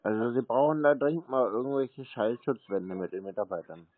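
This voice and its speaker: A man's voice: mid-range voice, lively intonation, a little fast. A man, stubborn and complaining in a casual, provocative phone conversation. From Germany. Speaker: prank call recipient baiting phone scammers. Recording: tinny, narrow phone line.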